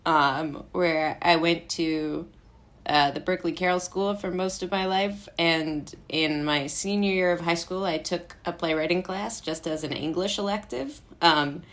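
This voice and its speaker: Low-pitched American woman chatting casually. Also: measured pace. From Brooklyn, New York, United States. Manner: rambling, self-interrupting, conversational. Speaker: interview guest, playwright and actor. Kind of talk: casual chat.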